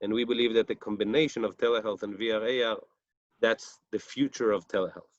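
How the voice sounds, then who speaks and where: A man with an Israeli accent giving a conference presentation over a video call, speaking haltingly with many fillers. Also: lowish voice, fairly even intonation, dry recording, muffled recording.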